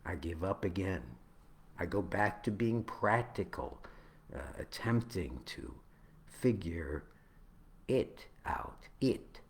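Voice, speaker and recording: A man reading his own spoken-word poem, with halting, deliberate phrasing that moves between dramatic swells and hushed drops. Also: low-pitched, lively intonation.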